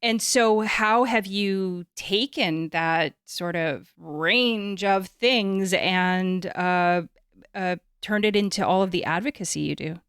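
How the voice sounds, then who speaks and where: Smooth-voiced woman hosting a podcast. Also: mid-range voice, slow pace, fairly bright tone, no echo, studio quality. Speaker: podcast host.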